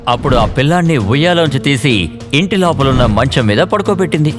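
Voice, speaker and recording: A man narrating an animated children's story in an expressive storytelling manner. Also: medium pitch, brisk. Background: faint background music.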